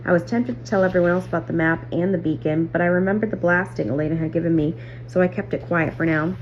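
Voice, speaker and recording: A woman reading a children's novel aloud in a measured, careful storytelling manner. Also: on the low side, steady tone. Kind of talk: read-aloud story narration. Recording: muffled audio, clear background hum.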